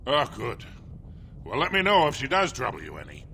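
An actor playing a gruff, worried middle-aged dwarf father in video game dialogue, with a gravelly voice. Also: mid-range voice, wildly swooping pitch, fairly quick, sharp tone, slight background noise, full character voice.

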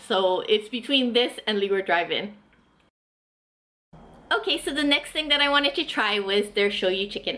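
Chatty woman reviewing food, higher voice. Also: bright, forceful tone. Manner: chatty and enthusiastic, many "like" fillers. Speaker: food vlogger. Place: small indoor room, eating at a table.

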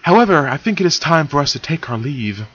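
A regal, poised elder princess speaking formally, as a man puts her on. Higher than the reader's own voice, bright, on a dull lo-fi recording. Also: very animated, a little fast, husky, full character voice. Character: stately regal pony princess, elder sister.

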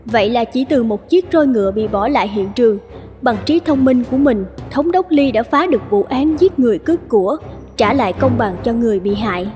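A woman narrating an animated folk tale in a scripted storytelling style. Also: fairly high pitch, fairly quick, faint music behind.

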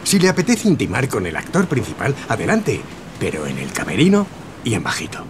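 A blunt, animated studio mogul. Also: male voice, on the low side, brisk, background noise. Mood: patronizing. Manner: patronizing, businesslike, persuasive. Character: blunt, bossy Hollywood studio mogul man. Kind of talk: scripted audio drama acting.

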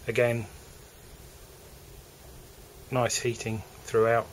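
A man with an English accent walks through the cabins of a moored motor yacht, narrating a sales tour in a relaxed, hesitant way. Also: medium pitch, extremely slow, slight background noise, poor audio. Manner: relaxed, hesitant, with fillers and restarts. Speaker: yacht broker. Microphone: handheld camera mic.

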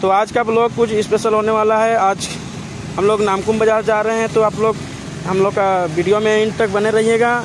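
A man's voice: high-pitched, level tone, fairly quick. A man narrates a casual vlog outdoors, explaining things to viewers and often repeating himself. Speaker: vlogger. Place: outdoors at roadside market. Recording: noisy outdoor street with traffic.

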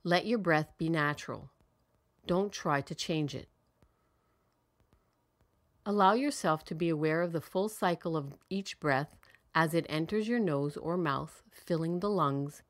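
A woman with a low-pitched voice narrates a guided meditation. She speaks calmly and soothingly, in measured phrases, and leaves long pauses for the listener.

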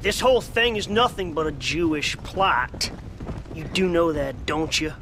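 Man acting a high-pitched, cranky old frontier shopkeeper. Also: a little slow, background noise, natural voice. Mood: suspicious. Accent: American. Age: older. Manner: ranting, righteous, folksy. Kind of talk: video game cutscene acting.

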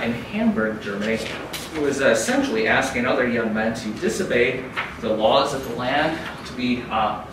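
A man lecturing from the front of a dark conference room. The echoey, roomy sound comes from a camera far across the room, with steady background noise. His voice climbs and gets louder whenever he reads a quote aloud. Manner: raises pitch and volume when reading quotes aloud. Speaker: keynote speaker.

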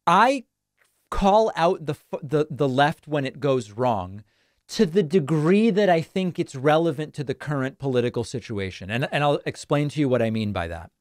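Male host in his 30s, higher-pitched, dry studio mic, measured and explanatory. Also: expressive, dry recording. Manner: measured, explanatory, lists points methodically. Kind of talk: call-in political talk show. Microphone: studio broadcast mic.

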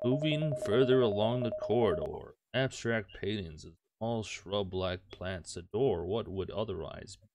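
A man's voice: fairly low pitch, lively intonation, unhurried, loud music behind the voice. A man doing let's play commentary, reading game text aloud with crude jokes and the odd stumble. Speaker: gameplay host.

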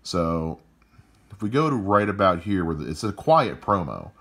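A man with a loud voice calmly walking through how he edits a podcast episode, step by step. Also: sits low, normal speed. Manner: calm step-by-step explaining. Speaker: podcast editor. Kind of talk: explaining a screen-recorded editing walkthrough.

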